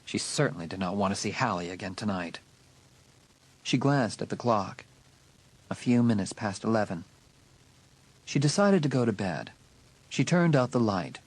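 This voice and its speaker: A man narrating a horror audiobook in a dramatic, suspenseful way with deliberate emphasis. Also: lowish voice, expressive, breathy edge, low-quality recording.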